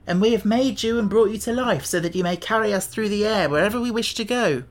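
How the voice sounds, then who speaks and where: A brisk young boy from a storybook, voiced by a narrator, speaks high above the narration. He is plain-spoken and quick to give orders. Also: soft background music, close to an everyday voice. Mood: brisk, commanding.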